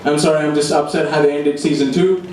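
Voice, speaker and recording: A young man delivers stand-up comedy in a fairly high voice, with deadpan timing. He is heard from far off in a very echoey room, with clear, heavy background noise. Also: fast talker. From immigrated to Australia at age eleven. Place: indoor comedy room before a live audience.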